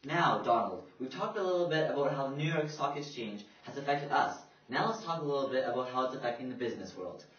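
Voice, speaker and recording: A man's voice: mid-range voice, lively intonation, fast, hardly any pauses, a bit bright, natural voice. A man playing a serious 1930s radio news co-anchor, reading scripted reports. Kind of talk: scripted news broadcast acting. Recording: echoey, dull, distant amateur recording.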